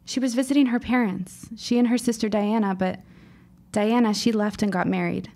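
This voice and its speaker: Woman acting a creaky-voiced, earnest teenage girl. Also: mid-range voice, steady tone, moderate pace, warm tone, close to an everyday voice. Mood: shaken, grave. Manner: formal, wordy, dramatic phrasing. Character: precocious, earnest fifteen-year-old girl writer, shaken but insistent. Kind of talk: audio drama acting.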